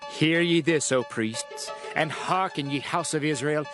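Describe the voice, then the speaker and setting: Solemn higher-voiced man reading scripture over music. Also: measured pace. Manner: solemn, declamatory. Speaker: narrator reading as the prophet. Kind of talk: dramatized scripture narration.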